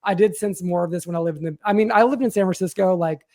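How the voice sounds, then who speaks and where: A man's voice: sits high, fast, a little mellow. An American man hosting a podcast, chatting casually about politics in a blunt, informal way. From Washington State, United States. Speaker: podcast host.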